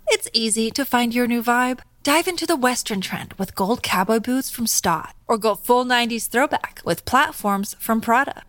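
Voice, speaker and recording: A woman's voice: a little high, fairly bright tone, no echo. A woman reading a scripted ad in a polished, upbeat way. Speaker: ad announcer. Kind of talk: scripted ad read.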